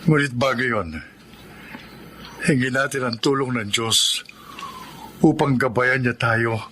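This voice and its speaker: A middle-aged Filipino father, speaking in a forward, bright mid-range voice. He is gentle and earnest, as in a radio drama, over light background noise. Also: mid-range voice, slow. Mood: sympathetic, solemn.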